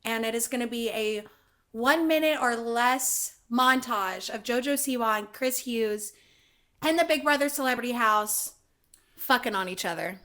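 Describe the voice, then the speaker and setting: Woman co-host, loud and excitable, slightly high voice, swears a lot. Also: normal speed. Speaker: podcast co-host. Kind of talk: casual chat. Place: home room, live-streaming.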